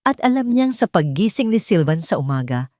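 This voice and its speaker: Female narrator, lower-pitched, for suspenseful audio drama; deliberate dramatic storytelling. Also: sing-song, darkish tone, dry recording, clean studio sound.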